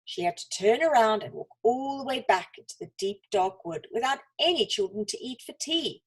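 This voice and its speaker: A woman reads a children's story expressively, with dramatic pauses and deliberate emphasis. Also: a little high, lively intonation, slightly rough, low-quality recording.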